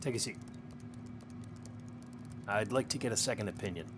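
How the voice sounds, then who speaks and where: A man playing a stern, suspicious superior in an audio drama, questioning a subordinate in a clipped, pointed, quietly menacing way. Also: lowish voice, moderate pace, close to an everyday voice. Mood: cool, probing. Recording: noticeable background hum and noise.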